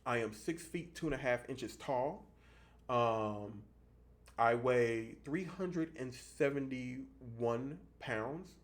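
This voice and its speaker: A man in his thirties giving a casual fitness vlog monologue, explaining things haltingly and repeating points for emphasis. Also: mid-range voice. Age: 30s. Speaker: fitness vlogger.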